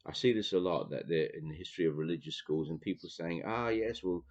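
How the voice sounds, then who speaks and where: Dutch-accented man, very low voice, halting reader-commentator on a muffled recording. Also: a little mellow. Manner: thoughtful, halting, many fillers and restarts. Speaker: teacher reading and commenting on a theology book. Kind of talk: reading aloud with commentary.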